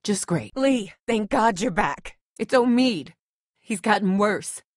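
A woman's voice: mid-range voice, lively intonation, slow pace, no room sound, clean studio sound. A woman acting a tough, distrustful and protective survivor in a dramatic video game, blunt, sardonic and guarded. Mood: urgent, worried.

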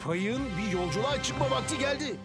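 A woman plays an eager little mouse hero from a cartoon, grumbling and dramatic. The pitch swings widely as the creature talks to itself, over loud music. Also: animated, fairly quick, natural voice. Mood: determined, excited. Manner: theatrical, exclaiming, talking to self. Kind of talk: cartoon dub acting.

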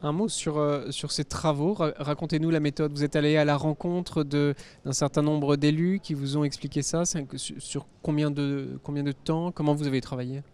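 A man in his forties with a French accent puts questions as an interviewer. His voice is a little high for a man and cleanly resonant, held close to a handheld microphone. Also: little pitch movement, moderate pace, few pauses, darkish tone, clear, resonant tone. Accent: French from France. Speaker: interviewer, host. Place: indoor interview set at a convention.

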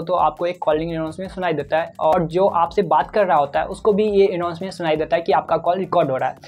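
A man's voice: high-pitched, darkish tone. A man in his twenties with an Indian accent, hosting a step-by-step tech tutorial and addressing viewers directly on a lapel mic. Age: 20s.

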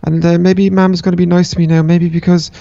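A man's voice: high voice, little pitch movement, a little fast, vocal fry, warm tone, no echo. A man voicing an anxious, eager little boy in a children's Minecraft roleplay, repeating phrases hurriedly. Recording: dull close recording, music behind.

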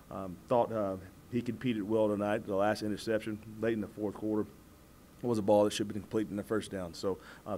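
An American man in his forties, a football coach answering press questions in a measured, halting way, repeating words as he goes. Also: fairly low pitch, mellow, slight background noise. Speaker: college football head coach. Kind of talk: postgame press conference answers. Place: indoor press room at a table before a backdrop. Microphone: several press microphones on the table.

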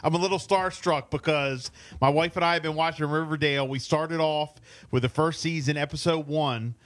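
A man's voice: on the high side, steady tone, moderate pace, fairly bright tone. A middle-aged man hosting a casual morning radio interview in a chatty, informal, effusive way on a studio mic. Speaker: radio host. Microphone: studio mic on boom arm, headset.

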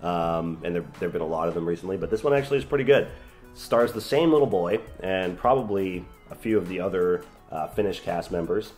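A young man co-hosting a relaxed, conversational movie review indoors, with faint music behind him. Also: sits low, brisk. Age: young adult. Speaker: film review co-host. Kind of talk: casual movie review chat. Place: small indoor room with shelves of discs.

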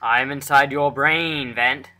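A man's voice: medium pitch, fairly even intonation, slow, pushed and bright. A man voicing a pushy, irritable talking device in an amateur cartoon parody, curt and scolding. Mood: bossy. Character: pushy, irritable male talking metal device, bossy know-it-all.

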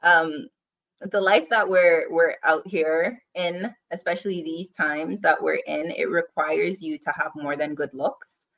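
A woman's voice: deep voice, fairly even intonation, a little slow, vocal fry, a bit bright, muffled recording. A young woman with a Guyanese accent, chatting as a podcast guest over a video call, laughing easily and rambling with fillers. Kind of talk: casual interview chat. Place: home room, indoors.

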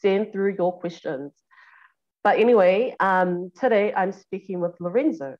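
An upbeat woman hosts a community health talk from her home, speaking through earphones on a video call. Her delivery is brisk and friendly. The audio sounds a little dull. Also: mid-range voice. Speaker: show host. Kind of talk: hosting a health talk show. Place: home room. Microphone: earphones with mic on a video call.

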